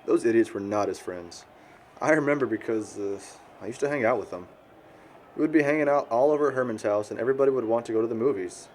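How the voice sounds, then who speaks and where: A man's voice: medium pitch, quick pace, darkish tone, natural voice. A young American man acting a blunt, cynical, slangy wrestler in conversational film dialogue. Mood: scornful. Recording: noticeable background ambient noise.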